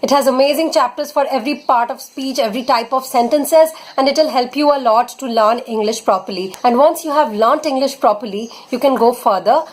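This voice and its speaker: A woman's voice: high-pitched, a little fast, hardly any pauses. A young Indian woman with an Indian accent, an English tutor giving earnest spoken tips in a vlog lesson. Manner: earnest, advising, leans on fillers like "basically" and "honestly".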